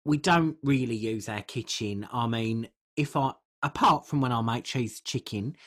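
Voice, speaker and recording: A podcast co-host chatting casually and comically in a hesitant, rambling way, with a husky voice. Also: mid-range voice, moderate pace.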